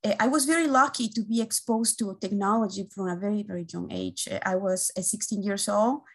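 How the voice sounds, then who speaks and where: A woman, giving an earnest, halting webinar presentation as an engineering team lead, often pausing on "uh", over a video call from an indoor room. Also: mid-range voice, sing-song. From Guatemala. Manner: earnest, halting, with frequent "uh" fillers and restarts. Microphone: video call webcam.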